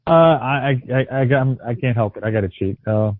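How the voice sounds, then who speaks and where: A man's voice: medium pitch, fairly quick, no room sound. A man co-hosting a casual movie podcast, starting over often and joking wryly. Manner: stammering restarts, wry jokes. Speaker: podcast co-host. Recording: tinny phone-line audio.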